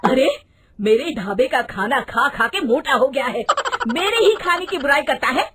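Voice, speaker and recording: A woman acts out an easily angered mother-in-law who cooks at a roadside eatery. Her voice is very high and swings widely. She scolds with indignant sarcasm in animated storybook style. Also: normal speed, music in the background. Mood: furious. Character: sharp-tongued, easily angered mother-in-law, dhaba cook. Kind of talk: animated Hindi storybook voice acting.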